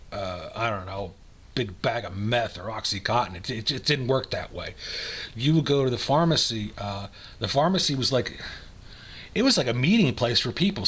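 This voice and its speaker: An older man with an American accent and a husky voice, reading aloud and chatting in a rambling, nostalgic way. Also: medium pitch, a bit bright. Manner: rambling, nostalgic, conversational asides. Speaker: amateur reader and host. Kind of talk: dramatic reading of old recipes with casual reminiscing.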